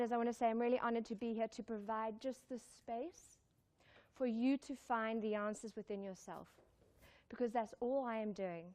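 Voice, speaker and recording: High-voiced woman motivational speaker. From born in Zimbabwe, raised in South Africa. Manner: exclamatory, emphatic, repeats key lines, puts questions to listeners. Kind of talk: live motivational talk to an audience. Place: live talk before an audience.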